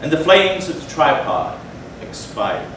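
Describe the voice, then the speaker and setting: A man narrating gothic horror as a dramatic stage reading, solemn and theatrical with deliberate phrasing. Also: fairly high pitch, sing-song. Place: indoor theatre, recorded from a distance. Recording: very echoey hall, far mic, noisy.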